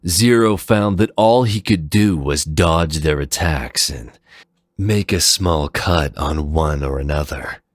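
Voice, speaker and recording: Deep, slow male story narrator. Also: no room sound, clean studio sound. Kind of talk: scripted dramatic story narration.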